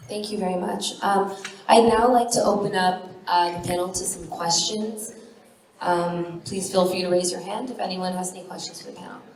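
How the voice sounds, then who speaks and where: A woman with a lowish voice moderates a live panel, polite and a little hesitant. She sounds distant in a reverberant hall. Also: fairly even intonation, moderate pace, a little mellow. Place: large event hall. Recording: echoey hall, distant mic.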